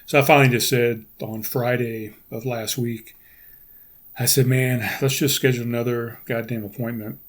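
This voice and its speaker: A middle-aged man talks casually on his own podcast, his voice raspy and hoarse from congestion. His pitch sits in an ordinary middle range. He rambles, restarts his sentences and keeps clearing his throat. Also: flat delivery, moderate pace. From United States. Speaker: podcast host. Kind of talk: casual solo podcast talk.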